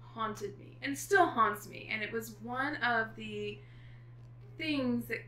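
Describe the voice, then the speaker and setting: Woman, middle-aged author, bright voice, casual storytelling with thoughtful pauses, echoey room. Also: a little high. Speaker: guest author. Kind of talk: casual chat. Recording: echoey room with faint noise.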